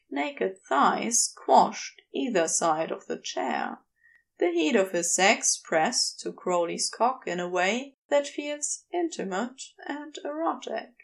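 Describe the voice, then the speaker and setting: A woman reading erotic fiction aloud as a scripted, measured narration. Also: a little high, creaky. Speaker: fan fiction audiobook reader.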